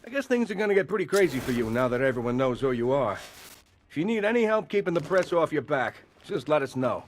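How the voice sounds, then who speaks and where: Quick-talking, eager policeman. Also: fairly high pitch, big pitch swings, slight background noise. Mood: supportive. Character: friendly, eager male police officer offering help. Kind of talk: video game voice acting.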